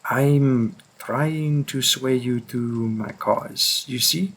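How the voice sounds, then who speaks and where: Man voicing a slow, grim French-accented vampire hunter. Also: medium pitch, slightly rough, heavily acted. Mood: intent, persuasive. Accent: attempted French. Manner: slow, deliberate, halting intensity. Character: grim, driven male vampire who hunts vampires. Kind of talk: audio roleplay spoken to the listener.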